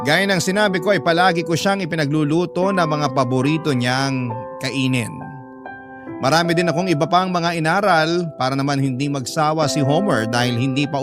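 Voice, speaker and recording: Man as high-pitched, earnest sentimental narrator. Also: lightly acted. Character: earnest, sentimental letter writer confiding a love story. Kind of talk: dramatic first-person radio narration. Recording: music bed under narration.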